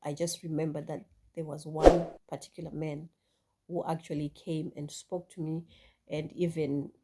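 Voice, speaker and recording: A woman's voice: deep voice, flat delivery, moderate pace, dark tone, a little hiss or hum. A Zimbabwean woman vlogger speaking reflectively to her viewers, with many fillers and restarts. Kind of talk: casual talk to camera.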